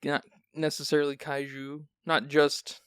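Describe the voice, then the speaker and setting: A man's voice: fairly high pitch, completely flat delivery, measured pace, a bit bright, clean tone, dry recording, studio quality. A man hosting a film podcast who sounds sleepy, chatting casually and rambling with restarts. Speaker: podcast host.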